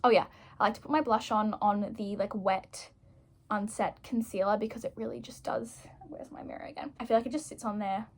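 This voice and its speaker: A woman's voice: mid-range voice, fairly even intonation, fairly quick. A young woman turning twenty-one, chatting casually to camera while doing her makeup, rambling, self-mocking and full of "like"s. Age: young adult. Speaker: vlogger.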